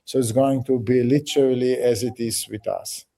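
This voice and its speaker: Very dark-voiced man explaining haltingly. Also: mid-range voice, steady tone, gravelly. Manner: halting, restarts words, many fillers. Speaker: project leader answering questions. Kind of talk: explaining in a group call.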